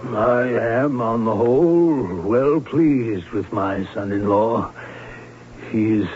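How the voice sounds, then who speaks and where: A man's voice: medium pitch, lightly acted. An actor playing a pompous, grasping baron who is smugly satisfied, drawing out his words in 1970s radio drama style. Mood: smugly pleased. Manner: pompous, drawn-out, emphatic. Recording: muffled, noisy vintage radio recording.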